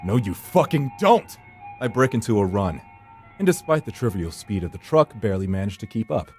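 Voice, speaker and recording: A man's voice: lowish voice, very animated, brisk, darkish tone. A man reading a visual novel aloud as dramatic scripted narration, with a brooding, theatrical delivery. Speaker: gameplay channel host reading aloud. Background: faint music.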